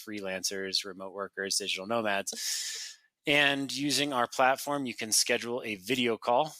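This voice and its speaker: Bright-voiced man, casual guest. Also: on the low side, no echo, poor audio. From North America. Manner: relaxed, chatty, laughs easily, frequent fillers. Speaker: podcast guest, company founder. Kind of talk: casual interview talk.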